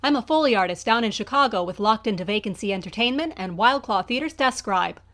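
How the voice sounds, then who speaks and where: Woman, higher-pitched voice, instructional quiz presenting. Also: lively intonation, brisk, fairly bright tone. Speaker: guest Foley artist.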